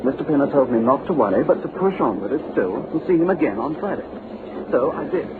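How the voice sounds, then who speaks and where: Man acting an earnest young clerk, lively pitch. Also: fast, natural voice. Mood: earnest recounting. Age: young adult. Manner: deferential, hesitant. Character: earnest, polite young English clerk, eager but puzzled. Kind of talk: old-time radio drama acting. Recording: lo-fi narrow-band radio recording, clear noise.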